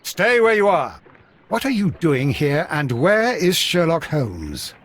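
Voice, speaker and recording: An actor playing a fussy, anxious Victorian gentleman companion in a game, with an English accent and a proper, excitable manner. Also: a little high, slow pace, slight background noise. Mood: worried, alarmed. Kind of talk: scripted game dialogue.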